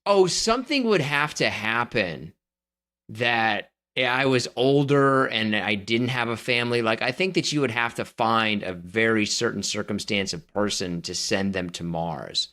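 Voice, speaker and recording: A man's voice: lowish voice, lively intonation, unhurried, sharp tone. A man co-hosting a podcast, chatting casually and giving blunt, emphatic opinions. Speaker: podcast co-host. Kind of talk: casual chat.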